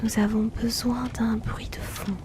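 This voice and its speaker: A woman voicing an aloof, wealthy hypnotist in a whispered ASMR roleplay, speaking softly and teasingly into a close mic. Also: on the high side, little pitch movement, background noise. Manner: soft teasing whisper, unhurried.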